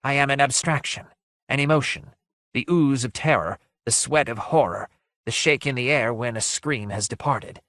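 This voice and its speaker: A man's voice: medium pitch, moderate pace, no room sound, pitched above the performer's own voice, natural voice. Man voicing a breathless, quavering, sorrowful ghost of terror. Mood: mournful, sinister. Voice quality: soft, breathless, quavering, sighing. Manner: archaic, ornate, mournful speech. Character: sad ghostly abstraction of terror, breathless and menacing.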